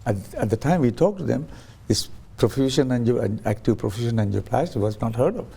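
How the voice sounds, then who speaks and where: A man's voice: mid-range voice, lively intonation, a little fast, creaky, warm tone, husky. A man interviewed as a guest on a talk show, explaining his ideas through stories and acting out quoted voices, with frequent restarts. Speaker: guest, science ambassador.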